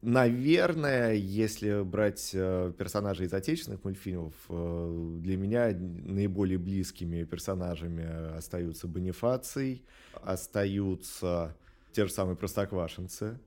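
Low, mellow middle-aged man, reflective. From Russia. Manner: reflective, hesitant, measured phrasing. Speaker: animation studio director, interview guest. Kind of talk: thoughtful interview talk.

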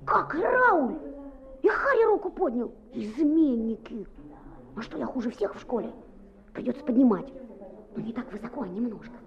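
A woman's voice: a little high, very animated, normal speed, pauses often, highs cut off. A woman playing a brash, feisty schoolboy in a radio play, with a cheeky, exclamatory and theatrical delivery. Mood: sly, grumbling. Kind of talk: Soviet-era radio play acting.

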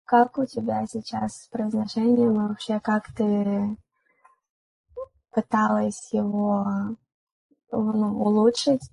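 A woman's voice: medium pitch, level tone, takes it slowly, vocal fry, dark tone, no echo, poor audio. A young woman hosting a podcast, asking questions and then sharing her own experience. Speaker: podcast host. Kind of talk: casual chat.

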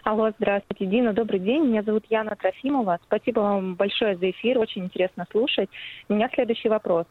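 A woman calling in to a radio show, talking quickly and politely over a thin, tinny phone line. The sound is dry, with narrow telephone tone. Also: mid-range voice, no echo. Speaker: caller.

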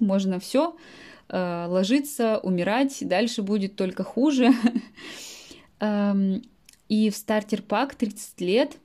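Late-20s female language teacher, native speaker, warm and mellow, patient explainer with soft fillers, podcast monologue. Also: mid-range voice. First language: Russian. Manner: explains words in simple terms, with frequent soft fillers.

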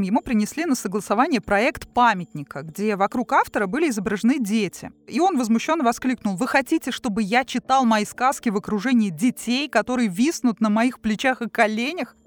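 A woman hosting a quiz show, presenting questions and explanations in a lively way. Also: fairly high pitch, dry recording.

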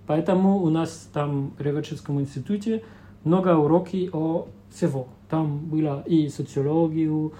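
A young Belgian man whose first language is French, speaking Russian with a French-speaking Belgian accent, pausing often to search for words as an interview guest. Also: fairly high pitch, dark tone, slight background noise. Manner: hesitant, searching for words with many fillers. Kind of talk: casual interview talk.

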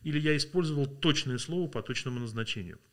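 A man from Russia talks as a podcast guest, reflective and unhurried in thought, often pausing on filler sounds. He sounds worn out at the end of a working day. His voice sits at an ordinary middle pitch. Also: fairly bright tone. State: tired. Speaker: linguist guest. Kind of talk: podcast interview.

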